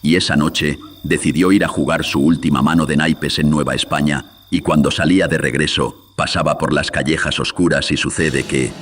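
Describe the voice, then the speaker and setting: Very deep male horror narrator. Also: steady tone, moderate pace, a bit bright, slight background noise. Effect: processed voice filter. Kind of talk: dramatic horror radio drama narration.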